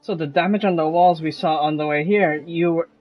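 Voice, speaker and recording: A man's voice: fairly high pitch, fairly even intonation, brisk, no room sound, lo-fi sound, faint music behind. A man streaming a video game, reacting casually as he plays. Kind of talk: casual live gameplay reactions.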